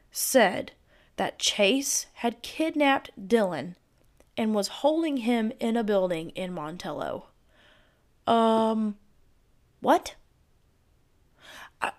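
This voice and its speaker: Woman, hoarse gravelly voice, chatty true crime host with speculative asides, middle pitch. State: hoarse, voice giving out. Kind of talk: casual storytelling narration.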